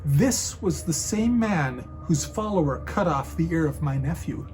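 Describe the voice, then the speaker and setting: A man's voice: on the high side, lively intonation, measured pace, darkish tone, soft background music. A man playing a cold, calloused Roman soldier in a dramatic reading, formal and taunting.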